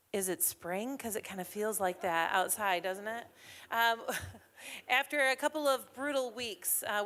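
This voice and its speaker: A woman's voice: fairly high pitch, fairly bright tone. A woman hosting a chamber of commerce morning event, chatty and upbeat, with frequent fillers, speaking into a mic in an event hall. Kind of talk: emcee announcements at a morning business event. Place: event hall with a live audience.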